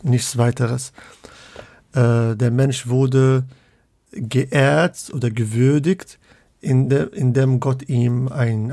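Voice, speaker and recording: A man's voice: mid-range voice, mellow, dry recording. A man from Morocco, a guest scholar of Islamic theology in a podcast interview, speaking hesitantly with many fillers and restarts.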